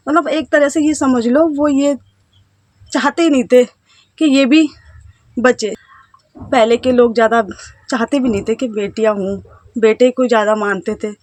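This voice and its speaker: A woman in her thirties from India, a housewife telling her life story to camera in a halting, hesitant way. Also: fairly high pitch, darkish tone, no room sound. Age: 30s. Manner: hesitant, halting, with self-conscious laughs and trailing phrases. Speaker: vlogger, housewife. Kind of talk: casual personal storytelling to camera.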